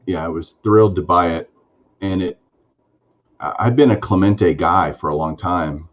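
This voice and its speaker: A man's voice: deep voice, moderate pace, dull audio. A man co-hosting a sports card podcast, talking casually and enthusiastically with lots of fillers. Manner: enthusiastic, rambling with frequent fillers. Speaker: podcast co-host.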